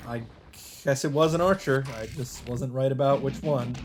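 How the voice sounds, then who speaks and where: Someone narrating a visual novel lets play, kinda dramatic, chatty asides, middle pitch, game music playing. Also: normal speed. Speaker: let's player reading a visual novel aloud.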